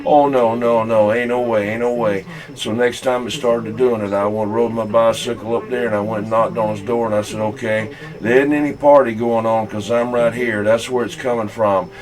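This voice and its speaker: A man with a Southern US accent telling folksy, halting, rambling stories in casual talk over CB radio. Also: mid-range voice, fairly even intonation, crowd noise, poor audio. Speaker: CB radio operator.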